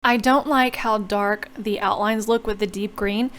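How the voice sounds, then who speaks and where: A woman's voice: mid-range voice, steady tone, a little slow, a bit bright. A young woman chatting through a journal painting tutorial as a voice-over, explaining each step and apologizing now and then. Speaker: bullet journal creator.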